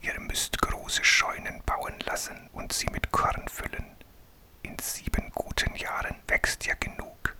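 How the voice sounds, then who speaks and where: A man's voice: slow pace, pushed and bright. A man whispering a humble wise young man. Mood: advising.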